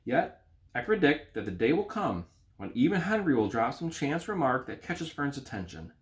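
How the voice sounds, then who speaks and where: A man's voice: medium pitch, big pitch swings, normal speed, voice pushed up, natural voice. A man voicing a thoughtful, unworried village doctor in a storybook, musing and reassuring.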